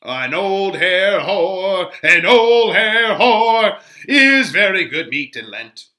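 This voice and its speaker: A crude young gentleman out of a Shakespeare play, acted by a man, teasing and theatrical. He declaims in a raised, bright voice and stretches his words into mocking singsong. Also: high voice, big pitch swings, slow pace, pitched above the performer's own voice, heavily acted. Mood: mocking, boisterous. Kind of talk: dramatic Shakespeare reading.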